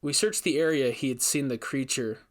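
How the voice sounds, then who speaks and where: A man hosting and reading a scripted story with a deliberate, theatrical delivery. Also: medium pitch. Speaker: host and story narrator. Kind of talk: dramatic scripted story narration.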